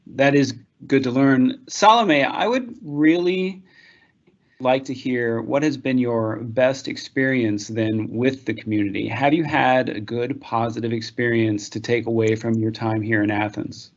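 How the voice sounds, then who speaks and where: A man's voice: medium pitch, a little slow, dull audio. A man moderating a panel, politely inviting a guest to share her experience.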